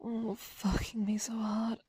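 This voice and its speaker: A woman's voice: fairly high pitch, darkish tone, breathy edge, dry recording. A woman in an intimate erotic audio roleplay, breathless and aroused, whispering between moans.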